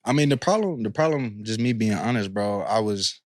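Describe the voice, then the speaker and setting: A man's voice: on the low side, lively intonation, fairly quick, no echo. A man in his thirties from Kansas City, a podcast guest talking candidly in slangy casual chat with lots of fillers. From Kansas City, USA.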